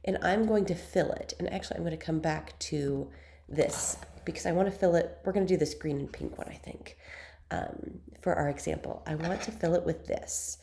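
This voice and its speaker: A young woman hosts an editing tutorial, her voice raspy and stuffed up from a cold. She speaks in a low, dark tone with a gravelly edge. Her delivery is chatty and self-deprecating, with plenty of ums between steps. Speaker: photography tutorial host. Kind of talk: screen-recorded software tutorial.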